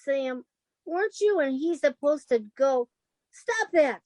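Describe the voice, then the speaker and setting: A woman's voice: high-pitched, expressive, measured pace, darkish tone, dry recording. A woman playing a meek, eager detective's secretary, solicitous and naive, in 1940s radio style. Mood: meek, puzzled.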